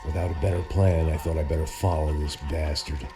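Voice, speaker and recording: An actor playing a weary, cynical middle-aged ex-cop, narrating in a gravelly noir voice-over for a video game. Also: male voice, extremely low pitch, fairly even intonation, brisk. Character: weary hard-bitten older male ex-cop. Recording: game audio with loud music.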